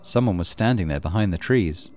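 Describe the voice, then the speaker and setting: Deep-voiced man narrating a horror game. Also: expressive, a little fast, darkish tone, slight background noise. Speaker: gaming channel host. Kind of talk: reading a horror visual novel aloud in a let's play.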